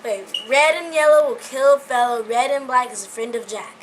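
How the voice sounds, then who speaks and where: Woman in her sixties, high-pitched voice, casual family chat, faintly noisy home recording. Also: normal speed. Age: 60s. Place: at a family birthday gathering at home.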